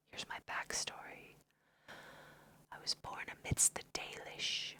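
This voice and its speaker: Whispering woman, ASMR gamer. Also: rough recording. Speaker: host of a video game let's play. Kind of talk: ASMR, whispered gaming commentary and read-aloud dialogue.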